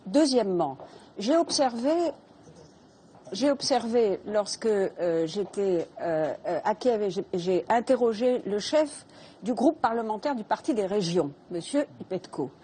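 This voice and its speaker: An older woman making her case in a television debate, pausing often on fillers while she argues. Her voice is mellow and mid-pitched. A faint noise sits under it. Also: expressive. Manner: measured, hesitant with many fillers, soft laughing start. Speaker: debate guest, politician.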